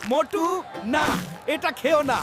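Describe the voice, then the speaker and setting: A man's voice: extremely high pitch, expressive, slow, heavily acted. A man voicing a cautious, nagging cartoon sidekick who scolds and warns his friend. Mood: anxious warning. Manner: nagging, admonishing. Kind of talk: children's cartoon acting. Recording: loud music bed. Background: loud music.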